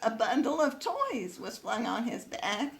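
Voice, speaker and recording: A woman's voice: fairly high pitch, big pitch swings, measured pace, gravelly, slight background noise. A woman reading a Christmas poem aloud in a home recording.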